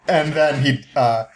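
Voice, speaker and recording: A man's voice: fairly high pitch, slight background noise. Casual man giving a rambling, amused recap. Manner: halting, rambling, amused, often chuckling. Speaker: podcast guest.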